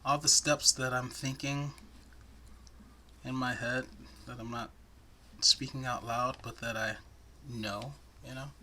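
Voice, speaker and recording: Man, soothing crochet tutor, soft-spoken, crisp bright tone, faint hiss behind. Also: mid-range voice, level tone, slow, long pauses. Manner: soft-spoken, chatty, self-deprecating asides. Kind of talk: step-by-step crochet instruction.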